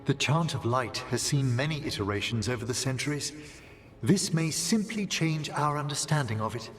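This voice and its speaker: An actor playing a courteous, scholarly necromancer in a video game, speaking in formal, precise words. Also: male voice, mid-range voice, lively intonation, normal speed, in a large hall, faint music behind, natural voice. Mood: measured, courteous. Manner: formal, learned, precise wording. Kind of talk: video game cutscene acting.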